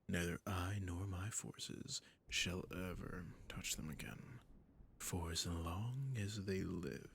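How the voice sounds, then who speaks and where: Low, breathy, sadistic vampire lord acted by a man. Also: fairly bright tone, exaggerated voice. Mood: menacing, coaxing. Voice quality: breathy, husky, often near-whispered. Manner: slow, formal, silky, condescending. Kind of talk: intimate scripted roleplay audio acting.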